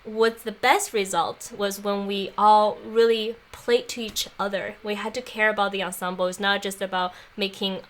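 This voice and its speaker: A young woman talking in a casual interview, thoughtful and warm, laughing often and using plenty of fillers. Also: medium pitch, level tone, normal speed, hardly any pauses. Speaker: guest, former apprentice. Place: makeshift home studio on an island.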